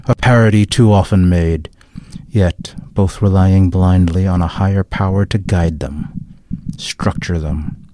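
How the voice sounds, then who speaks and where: A man performing a grave, declamatory social critic in a scripted dramatic monologue, with deliberate, solemn phrasing. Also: sits low. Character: grave male orator condemning society's closed minds. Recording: clearly noisy recording with clicks.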